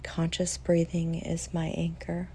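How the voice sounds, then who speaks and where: Female meditation guide: mellow, relaxed, slightly low voice, gentle and soothing delivery with unhurried pauses. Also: a little hiss or hum. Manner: soft, soothing, with long pauses.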